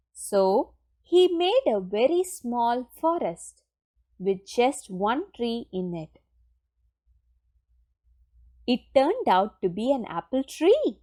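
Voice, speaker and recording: A woman reading a children's picture book aloud in an expressive, deliberate storytelling manner with dramatic pauses. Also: on the high side. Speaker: storybook reader.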